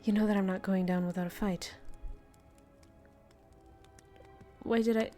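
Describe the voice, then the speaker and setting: A woman playing a centuries-old vampire master, tender and weary, confiding softly in an intimate audio roleplay. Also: mid-range voice, fairly even intonation, faint music behind, close to an everyday voice. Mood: tender, gentle. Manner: soft, slow, hesitant, confiding.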